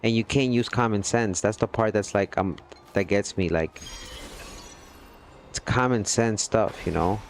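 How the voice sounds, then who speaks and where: A man's voice: lowish voice, unhurried, pauses often, slight background noise. A man chatting casually with his livestream viewers, earnest and rambling, often checking in with "you know what I mean". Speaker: gaming livestreamer.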